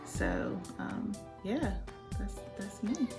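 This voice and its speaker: A woman from Pittsburgh in her thirties, co-hosting a casual couple Q&A and telling stories with lively, laughing energy. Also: mid-range voice, very slow, vocal fry. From Pittsburgh, Pennsylvania, USA. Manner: animated storytelling with frequent laughs. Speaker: co-host, life coach. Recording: echoey room with loud music.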